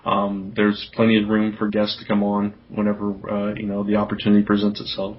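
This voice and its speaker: Low-voiced man hosting a casual podcast. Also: completely flat delivery, a little fast. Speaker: podcast host. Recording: dull, lo-fi podcast audio.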